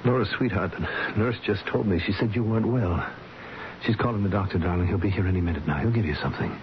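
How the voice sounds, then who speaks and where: An actor in a radio drama playing an anguished, devoted husband who speaks in a hesitant, pleading way. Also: male voice, lowish voice, fast talker, lightly acted. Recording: dull, noisy old radio broadcast.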